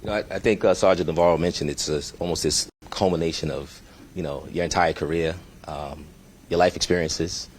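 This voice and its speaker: Deep-voiced man, measured and hesitant. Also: fairly even intonation, a little hiss or hum. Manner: measured, hesitant with many fillers. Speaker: police chief. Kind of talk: press conference answers.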